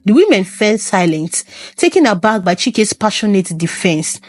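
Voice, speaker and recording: Female narrator, scripted folktale storytelling, lively varied intonation. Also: medium pitch, moderate pace.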